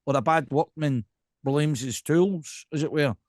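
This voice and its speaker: A man's voice: a little high, a little slow, a loud crowd. A man with a Scottish accent hosting a casual interview, talking in an emphatic, rambling way and often checking in with the listener. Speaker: podcast host.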